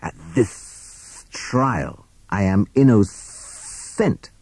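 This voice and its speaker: A man voicing a sinister snake villain in a children's story, with drawn-out hissing speech. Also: on the low side, animated, darkish tone, breathy edge, no echo, full character voice. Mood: indignant.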